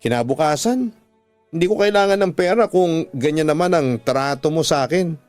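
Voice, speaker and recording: Hurt frank wife, played by a man, reproachful, fairly high voice, dry sound, soft music behind. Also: expressive, moderate pace, no echo, natural voice. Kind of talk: radio drama acting.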